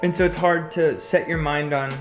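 A man on a couch at home, talking calmly and reflectively in casual conversation. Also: fairly high pitch, moderate pace, over music. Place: living room couch.

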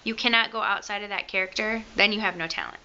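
A woman's voice: medium pitch, fast, pushed and bright, smooth, lo-fi sound. A young woman with a Michigan accent, rambling and joking about herself as she talks to the camera in her bedroom. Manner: chatty, self-mocking, rambling asides. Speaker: beauty vlogger. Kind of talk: casual chat to camera. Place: bedroom at home, indoors. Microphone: camera close to the face.

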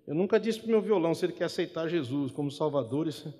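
A man's voice: on the high side, lively intonation, fast, warm tone. Wry Brazilian man chatting on a church stage. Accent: Brazilian Portuguese. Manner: chatty and wry, tags lines with a questioning "né?". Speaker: gospel singer and guitarist. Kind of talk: relaxed spoken interlude between songs at a church concert. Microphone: stand mic on stage. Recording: long hall reverb with music behind.

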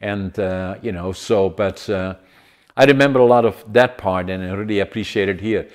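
A man's voice: lowish voice, normal speed, smooth. A man in his eighties with a Dutch accent, hosting a casual interview over a video call, with many fillers and restarts. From Netherlands. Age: 80s. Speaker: podcast host. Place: home room with large window.

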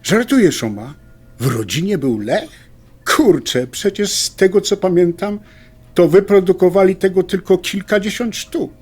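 A man's voice: high voice, lively intonation, moderate pace, a little mellow, slightly rough, soft background music. A man playing an enthusiastic, wry fellow in an audio drama, speaking in a colloquial, joking way. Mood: amazed. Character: enthusiastic, wry male motorcycle lover facing surgery.

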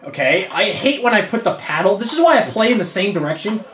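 Young male gamer, very animated pitch, cursing and shouting, roomy echo. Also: fairly quick, a little hiss or hum. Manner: frustrated outbursts, cursing and yelling at the game. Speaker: gaming video creator. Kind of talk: casual gameplay commentary. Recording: roomy echo with faint game music.

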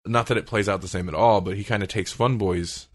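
A man's voice: fairly low pitch, fairly even intonation, fairly quick, no echo. A man hosting a podcast, talking casually in a rambling, conversational way as he retells things. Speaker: podcast host.

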